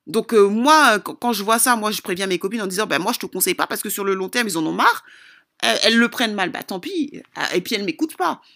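Gravelly-edged woman giving insistent advice. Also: medium pitch, animated, quick pace, a bit bright. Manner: repetitive, emphatic, insistent. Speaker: relationship advice vlogger. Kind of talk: informal opinionated advice monologue to followers.

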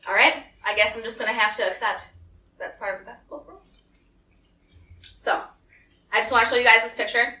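A bright, forceful young woman talking to a small group in a room full of echo, a little back from the mic. She tells her story in a lively way and slips into other people's voices for the quotes. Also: medium pitch. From United States. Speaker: speaker giving a talk. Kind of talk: personal talk to a small group. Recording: echoey room, voice from some distance.

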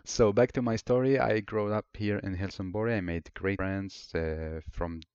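A man in his twenties with an Iraqi Arabic accent, a native Arabic speaker, telling his own story as a podcast host in a solo monologue, earnest and reflective with frequent pauses. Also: on the low side, steady tone, a little mellow, clean tone, no echo, lo-fi sound. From Iraq. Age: 20s.

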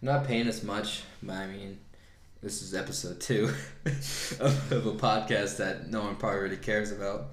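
A man's voice: fairly low pitch, fairly even intonation, normal speed, roomy sound, slight background noise. A man with a Texas twang co-hosting a casual podcast from a living room. He talks in a loose, laid-back way, swears freely and laughs often. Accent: Texan. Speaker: podcast co-host.

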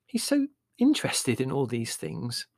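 Storybook mother, played by a man: middle-aged, lifted pitch, gentle, hesitant, soothing and a little vague. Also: sing-song, normal speed, creaky, slightly rough, no room sound, studio quality, full character voice. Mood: placating. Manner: hesitant, placating, mildly doubtful. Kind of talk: audiobook character voice.

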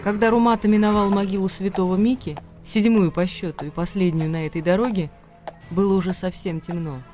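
A man's voice: sits high, steady tone, brisk, a little mellow, soft background music, lightly acted. A man reading scripted literary narration as the narrator of a radio drama.

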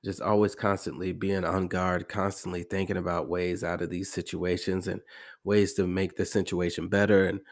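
A low-pitched American man delivers a casual, motivational podcast monologue. He sounds congested and a little hoarse, as if from allergies. He talks conversationally, often repeating a phrase to drive it home. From American Midwest. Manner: conversational, emphatic repetition. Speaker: podcast host.

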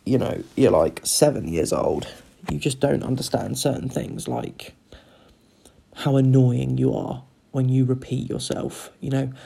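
A man with a croaky voice, rambling through a sweary, casual solo chat as a podcast host. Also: medium pitch, mellow. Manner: rambling, sweary, loses his train of thought.

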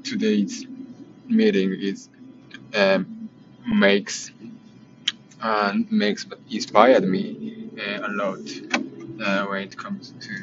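Japanese-accented man, young adult, vlog diary; tired, halting, lots of "uh"s; dull recording with steady noise. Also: on the low side, gravelly. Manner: hesitant, many fillers and self-corrections. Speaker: vlogger. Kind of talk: casual spoken diary. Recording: muffled lo-fi with background noise.

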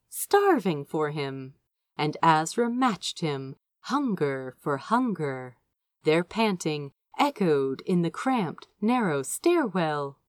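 Low-pitched, animated woman reading fiction aloud. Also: no room sound, clean studio sound. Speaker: amateur audiobook reader of fan fiction. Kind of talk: scripted narration, dramatic storytelling read aloud.

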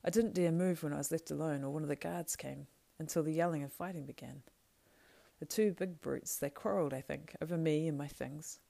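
A woman voicing an anguished male hobbit ring-bearer in a storybook, halting and intense. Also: deep voice, gravelly, mellow. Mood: despairing.

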